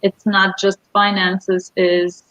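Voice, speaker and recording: A woman's voice: medium pitch, flat delivery, slow, a bit bright, dry recording. A woman from Ukraine with a Ukrainian accent, a guest coach telling her life story in earnest, slightly broken English over a video call. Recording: dry, lo-fi video call audio.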